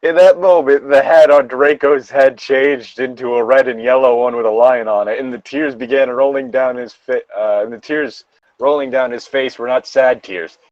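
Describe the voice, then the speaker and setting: A man's voice: mid-range voice, steady tone, normal speed, darkish tone, dry recording, muffled recording. A man co-hosting a comedic fan fiction reading, moving between narration and sardonic, incredulous casual banter.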